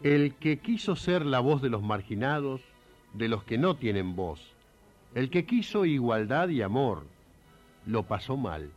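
Slow, expressive male radio host. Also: mid-range voice, highs cut off, faint music behind. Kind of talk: scripted reflective narration.

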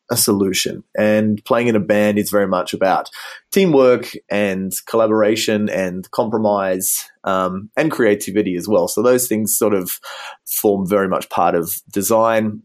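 Australian man, thirties, lowish voice, podcast guest, reflective, lots of ums. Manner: thoughtful, many ums and sort-ofs. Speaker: guest, business designer. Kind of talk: relaxed interview storytelling. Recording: muffled mic from beard rubbing.

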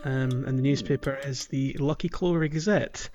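A man's voice: mid-range voice, fairly even intonation, moderate pace, dull audio, music in the background. A man co-hosting a podcast, chatting casually about a video game, rambling with lots of fillers and little laughs. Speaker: podcast co-host.

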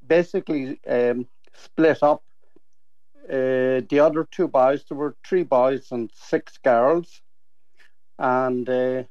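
An older Irish man tells personal recollections as an interview guest. He speaks rather slowly and haltingly, with hesitations. The recording is dry and somewhat muffled. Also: mid-range voice, darkish tone, no echo. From Kilkenny, Ireland. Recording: dull, dry lo-fi sound.

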